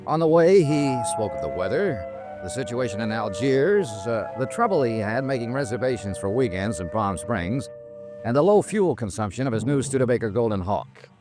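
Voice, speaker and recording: An American actor playing a tough, wry insurance investigator in a 1950s radio detective drama, with a clipped, probing delivery. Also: male voice, medium pitch, big pitch swings, normal speed, over music, lightly acted. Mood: dry narration. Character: tough, wry, dogged male insurance investigator narrating his case. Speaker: investigator and narrator. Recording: restored 1950s radio recording.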